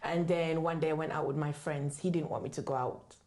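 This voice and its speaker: A woman's voice: deep voice, fairly even intonation, brisk, darkish tone. A woman from the UK, raised in Jamaica, speaking candidly as an interview guest in a conversational storytelling style. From born in the UK, raised in Jamaica. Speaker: interview guest, author and book writing mentor.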